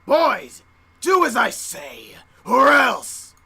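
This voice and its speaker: A woman's voice: fairly high pitch, big pitch swings, very slow, vocal fry, pushed and bright, a little hiss or hum, full character voice. A woman playing a menacing, hot-tempered devil gang boss in a visual novel game, with a theatrical commanding delivery. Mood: furious.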